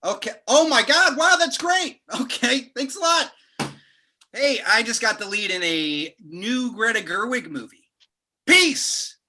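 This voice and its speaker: A man's voice: very high-pitched, expressive, unhurried, sharp tone, exaggerated voice. A man playing an aloof, self-important actor in a comedy table read. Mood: excited.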